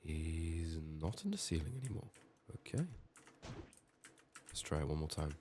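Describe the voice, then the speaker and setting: Male game streamer, extremely low pitch, soft-spoken ASMR delivery, strained sore voice, downbeat muttering, light noise with keyboard clicks. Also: very animated, moderate pace.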